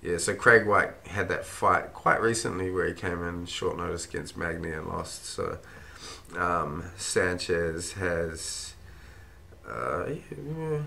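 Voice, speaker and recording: A man with a croaky, run-down voice, rambling casually through fight picks with plenty of swearing. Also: deep voice, unhurried, pushed and bright. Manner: rambling, swears freely, thinks aloud with ums. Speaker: fight-pick vlogger. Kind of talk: casual fight predictions monologue.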